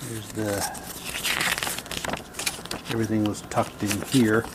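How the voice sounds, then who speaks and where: A man giving halting, low-key, wry commentary as he casually unboxes items and reads captions aloud. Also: flat delivery, heavy background noise. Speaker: unboxing video host.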